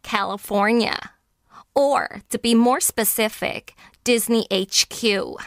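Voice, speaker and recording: An actress as confident female film director, blunt, slightly high voice, measured pace, radio play. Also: no room sound, studio quality. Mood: teasing, matter-of-fact. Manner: assured, blunt. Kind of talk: radio drama acting.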